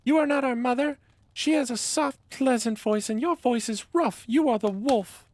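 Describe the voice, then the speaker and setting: A man voicing a group of small, wary goat kids with squeaky, defiant cries. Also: normal speed, darkish tone.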